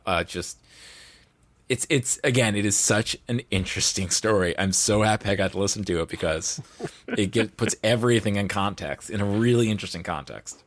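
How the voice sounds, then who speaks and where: A man's voice: fairly low pitch, a bit bright, no room sound. A man talking casually and emphatically about pop culture as a podcast guest.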